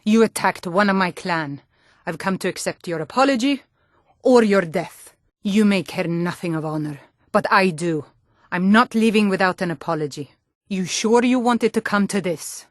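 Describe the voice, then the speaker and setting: A woman's voice: medium pitch, moderate pace, no echo, clean studio sound, natural voice. Actress as a firm Nordic fantasy warrior woman. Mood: scornful, threatening. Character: hardy Nordic warrior woman, townsfolk and guards of fantasy realm. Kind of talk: scripted fantasy video game character dialogue.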